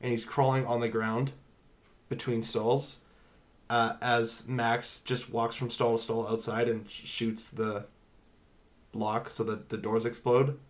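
A man's voice: medium pitch, flat delivery, slow pace. A man co-hosting a podcast, chatting informally and bluntly about films. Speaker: podcast co-host. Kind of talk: casual movie review chat.

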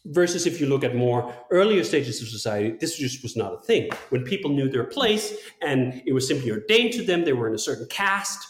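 A man's voice: mid-range voice, big pitch swings, fairly quick, hardly any pauses. Man reflecting in an echoey room. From Denmark. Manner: philosophical, thinking aloud, emphatic. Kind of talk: reflective long-form conversation.

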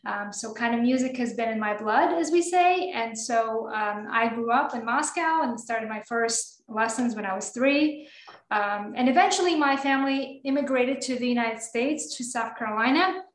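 A woman's voice: fairly high pitch, normal speed, roomy sound. A woman, a guest pianist in a radio interview, talking energetically and enthusiastically with many fillers. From Russia.

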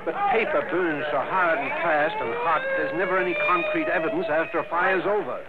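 An American actor playing a weary, harried insurance manager in a 1960s radio drama, speaking urgently and plainly. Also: male voice, on the high side, brisk, close to an everyday voice. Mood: grim, impatient. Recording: noisy, tinny vintage radio recording.

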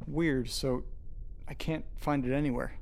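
A man playing an anxious, self-doubting character who mutters haltingly to himself in a horror audio drama. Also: medium pitch, steady tone, gravelly, darkish tone, a little hiss or hum. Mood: puzzled, uneasy. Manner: muttering, halting, sighing, swearing.

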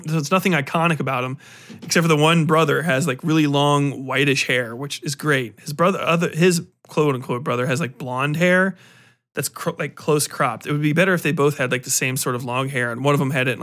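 Profane, animated man with a higher-pitched voice. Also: fairly quick. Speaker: podcast host. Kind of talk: casual rambling film commentary.

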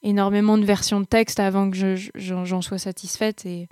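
A young woman, a singer-songwriter, answering interview questions in a relaxed, halting way, with many fillers and restarts. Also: medium pitch, clear, resonant tone, dry recording, studio quality.

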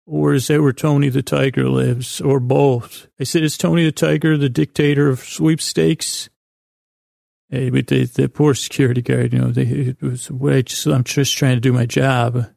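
Male bedtime podcast host: creaky, mellow delivery, halting and rambling, soothing. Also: mid-range voice, flat delivery, no room sound, studio quality. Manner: meandering, halting, stumbling over words. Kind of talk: rambling bedtime-story sleep podcast monologue.

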